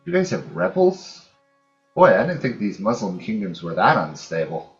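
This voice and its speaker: Male gamer, low-pitched, casual let's play narration, thinking out loud, light music underneath. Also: sing-song, moderate pace, dull audio. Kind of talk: casual strategy game commentary, thinking aloud.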